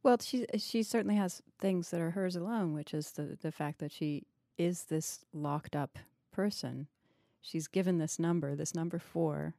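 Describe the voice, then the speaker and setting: A woman with a low, soft-toned voice hosts a thoughtful discussion of fiction. She is analytical and likes probing questions. The recording is close and dry. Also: moderate pace, creaky, dry recording. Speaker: podcast host interviewing an author.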